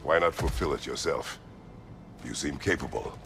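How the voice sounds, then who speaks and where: Abyssal, gravel-throated brooding warrior god. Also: male voice, background noise. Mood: solemn, reflective. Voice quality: very deep, gravelly. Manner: terse, grave, measured. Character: brooding, battle-worn former god of war and Spartan general. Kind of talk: dramatic video game acting. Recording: long cavernous reverb, music behind.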